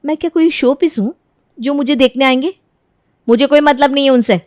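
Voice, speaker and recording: A woman's voice: high voice, a little fast, lightly acted. A woman voicing an insolent, scheming young daughter-in-law in a moral story, sarcastic and defiant in manner.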